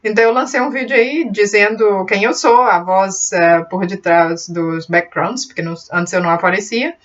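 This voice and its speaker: A woman's voice: mid-range voice, normal speed, recorded from a distance, lo-fi sound. A woman born in Brazil and raised in Portugal, with a mixed Brazilian and European Portuguese accent, chatting casually and encouragingly about her computer tutorial channel, with many fillers and restarts.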